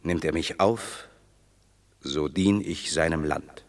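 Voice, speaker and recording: An actor playing a proud, scornful banished Roman warrior in a radio drama of classical verse, with a declamatory, measured, grand delivery. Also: male voice, very deep voice, a little hiss or hum, exaggerated voice. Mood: brooding.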